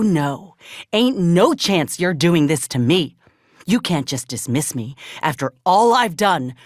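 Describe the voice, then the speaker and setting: A woman's voice: on the low side, dry recording, clean studio sound. An actress playing a determined, scrappy soldier woman in a video game, with blunt, folksy frontier speech. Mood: indignant, angry. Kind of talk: video game character dialogue.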